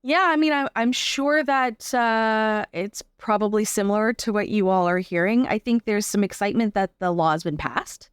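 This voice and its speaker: A woman's voice: medium pitch, moderate pace, few pauses, smooth, no room sound. Canadian woman talking in a dry, close recording. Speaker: interview guest, performer and advocate. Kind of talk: conversational interview answers.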